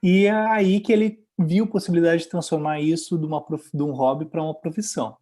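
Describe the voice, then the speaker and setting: Fast-talking Brazilian man, fairly high voice. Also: fairly even intonation, mellow. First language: Portuguese. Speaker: interviewer and interpreter. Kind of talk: consecutive interpreting in an interview.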